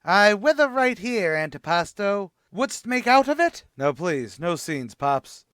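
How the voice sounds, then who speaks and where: A man's voice: high-pitched, very animated, unhurried, fairly bright tone, clean tone, no room sound, clean studio sound, exaggerated voice. A man playing a hammy bar manager doing mock opera. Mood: mock-dramatic. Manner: hammy mock-Shakespearean declaiming. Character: brash Brooklyn-style male bar manager hamming up mock opera. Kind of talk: old-time radio comedy re-creation.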